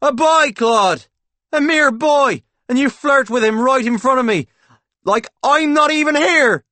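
A theatrical man in a storybook, acting the betrayed lover. The voice leaps high and loud, shrill and exclaiming, full of self-pitying melodrama. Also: measured pace, dry recording, exaggerated voice. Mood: jealous outrage. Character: scheming man staging a melodramatic, wronged lover's tantrum.

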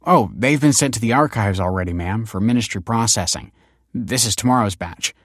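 A man's voice: fairly low pitch, brisk, a bit bright, no echo, clean studio sound. A man voicing a polite, helpful office assistant.

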